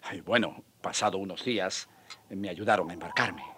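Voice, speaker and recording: An actor playing a weary but passionate middle-aged friar in a radio drama, speaking earnestly and declaiming. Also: male voice, low voice, moderate pace, very noisy, natural voice. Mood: weary, dejected.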